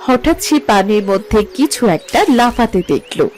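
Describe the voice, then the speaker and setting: Female narrator for a scripted fable, slightly high voice, music underneath. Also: lively intonation, unhurried, darkish tone. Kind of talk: scripted moral-story narration. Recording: music behind the voice. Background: background music.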